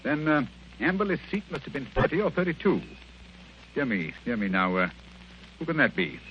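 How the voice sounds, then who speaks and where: A dull old radio recording with light noise carries a shrewd gentleman detective. His delivery is theatrical and very animated, fast and insistent. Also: a little high. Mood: brisk, genial. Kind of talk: old radio drama acting.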